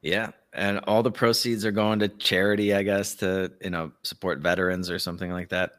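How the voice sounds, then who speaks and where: Low-pitched man in casual podcast banter. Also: little pitch movement, brisk, fairly bright tone. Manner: informal, slangy, opinionated. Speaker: podcast co-host.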